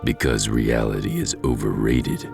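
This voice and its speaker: A male announcer introducing an audio drama in a slow, intoning voice. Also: very deep voice, flat delivery, creaky. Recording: heavy music bed.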